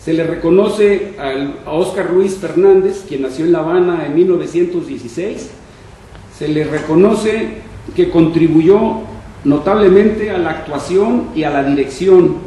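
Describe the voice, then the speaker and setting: A man in his sixties with a northern Mexican accent, giving a prepared talk as a panel speaker and reading from notes in an expressive, deliberate way. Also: a little high. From Monterrey, Mexico. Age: 60s. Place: conference room panel, indoors. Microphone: shared table microphone on stand. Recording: echoey room, distant mic, faint noise.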